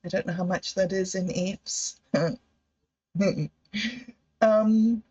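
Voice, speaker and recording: A woman who grew up in Zimbabwe, telling rambling personal stories on a livestream with frequent laughs and trailing pauses. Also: medium pitch, steady tone, dark tone, husky. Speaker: livestream host. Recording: dull lo-fi sound.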